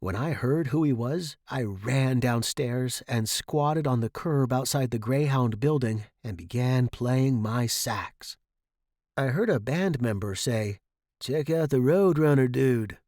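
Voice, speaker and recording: A man's voice: medium pitch, takes it slowly, a little mellow, dry recording, clean studio sound. A man reading a first-person short story dramatically, as its narrator.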